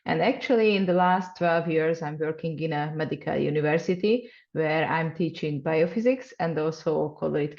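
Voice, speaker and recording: A woman's voice: low-pitched, darkish tone, highs cut off. A woman from Hungary speaking English with a Hungarian accent, giving earnest interview answers and sometimes searching for words. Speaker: guest scientist, associate professor.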